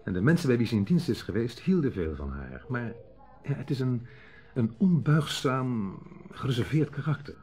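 An actor playing a genial, candid friend in a Dutch radio drama, talking conversationally and pausing for emphasis. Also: male voice, mid-range voice, sing-song, a little mellow, faint music behind. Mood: explaining. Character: Henry, genial, candid engaged man, jokey friend.

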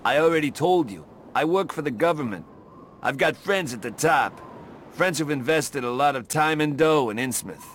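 A man's voice: on the high side, lively intonation, moderate pace, fairly bright tone, slight background noise. A man playing a smug government agent in a video game, talking in a wry, sardonic way. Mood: warning. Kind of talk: game cutscene acting.

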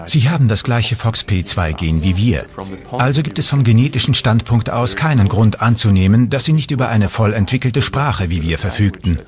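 A man with a fairly low voice speaks as an expert in a documentary. He explains things in a commentary style. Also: lively intonation, normal speed, a crowd in the background. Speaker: voice-over of an expert interviewee.

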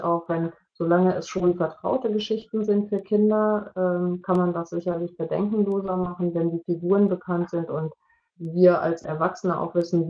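A middle-aged woman answering as an expert in an online Q&A, explaining haltingly with pauses mid-sentence. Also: fairly low pitch. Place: indoors, video call. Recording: dull, lo-fi video call audio.

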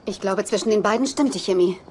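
A woman's voice: medium pitch, fast talker, darkish tone, close to an everyday voice. An actress playing an upbeat, sociable girlfriend in a German-dubbed sitcom. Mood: hopeful. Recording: faintly noisy TV soundtrack rip.

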